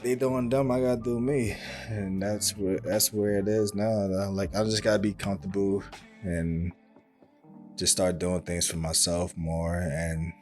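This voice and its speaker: A young man hosting a solo podcast, talking in a soft, calm voice that can't project, rambling thoughtfully with many fillers and restarts. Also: low voice. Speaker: podcast host. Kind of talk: reflective solo podcast monologue.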